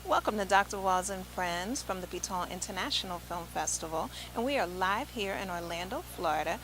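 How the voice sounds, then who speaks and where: An American woman hosting an interview show, upbeat and affirming, often answering with quick agreements. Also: lowish voice, animated, over loud music, low-quality recording. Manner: upbeat, affirming with frequent "yes". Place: large event venue.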